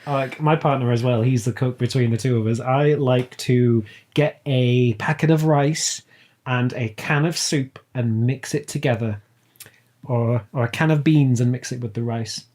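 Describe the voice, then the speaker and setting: A podcast guest in their late twenties talks in a casual, informal style. The voice has a middling pitch. The delivery is blunt and conversational. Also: fairly even intonation, measured pace, a little mellow. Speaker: podcast guest, audio drama writer and producer. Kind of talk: casual chat.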